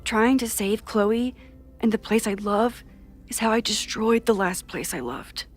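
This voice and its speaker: Game role: young American woman, higher voice, anxious and wry, haunted by guilt, natural delivery, music underneath. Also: a little slow. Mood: guilty, rueful. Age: young adult. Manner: wry, self-doubting. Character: anxious, guilt-ridden young woman with time-rewinding powers. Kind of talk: naturalistic video game acting.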